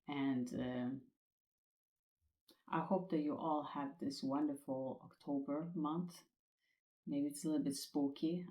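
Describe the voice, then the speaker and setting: A woman whose first language is Swedish, hosting a spiritual podcast and talking in a gentle, reassuring way that repeats its points. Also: deep voice, echoey room. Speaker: podcast host.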